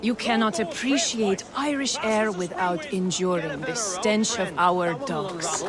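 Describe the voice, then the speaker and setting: An actor playing a dry, fastidious old Persian merchant in a video game, haughty and sardonic. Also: male voice, sits high. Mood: disdainful. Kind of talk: video game dramatic dialogue. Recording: noisy busy outdoor game ambience.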